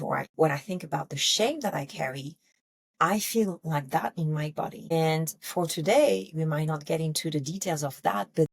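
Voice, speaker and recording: Female wellness podcast host, French accent, low register with gravelly creak; intimate, candid, emphatic coaching delivery. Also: darkish tone, no room sound. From France. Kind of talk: intimate solo podcast talk, motivational.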